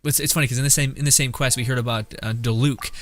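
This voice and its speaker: A young man giving casual, rambling gameplay commentary as a streamer, thinking out loud. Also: medium pitch, steady tone, fast talker, slight background noise. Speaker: game streamer.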